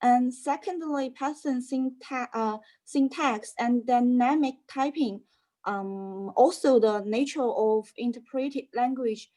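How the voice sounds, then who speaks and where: A woman's voice: fairly high pitch, slow, warm tone. A woman student reading a prepared class presentation carefully, with hesitations and self-corrections.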